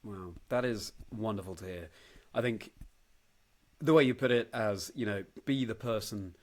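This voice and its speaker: A man with a fairly low voice hosts a relaxed chat show. He responds to his guest in an affirming, encouraging manner and puts questions conversationally. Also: moderate pace. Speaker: podcast host. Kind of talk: casual interview chat.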